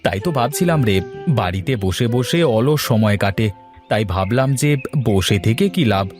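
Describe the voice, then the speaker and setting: A man's voice: on the low side, lively intonation, measured pace, darkish tone, soft background music. A man playing an easygoing village man in a story, talking in a familiar, musing, colloquial way. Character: easygoing village elder brother Pratap, would-be businessman farmer. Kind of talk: acted village story dialogue.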